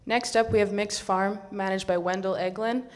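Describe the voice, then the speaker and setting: Young female emcee, mid pitch, scripted announcing. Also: fairly even intonation, normal speed. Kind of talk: announcing presenters.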